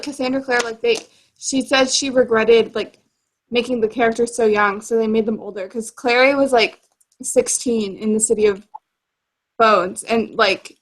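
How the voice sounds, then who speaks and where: A young woman with a distinctly high voice co-hosts a book discussion. Her delivery is casual and conversational, like talk between friends. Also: poor audio. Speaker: book discussion show co-host.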